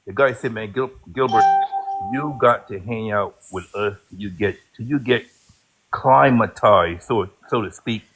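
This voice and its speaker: Halting man from Barbados telling a story. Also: lowish voice, fairly bright tone, slightly rough, low-quality recording. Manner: halting, many fillers and restarts while telling a story. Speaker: guest, military veteran. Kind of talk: casual storytelling.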